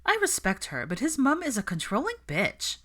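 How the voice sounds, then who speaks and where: A woman's voice: on the high side, huge pitch swings, normal speed, pushed and bright, voice pushed up, heavily acted. A woman voicing a blunt, sardonic young woman in a story reading, with a dry manner. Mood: scornful.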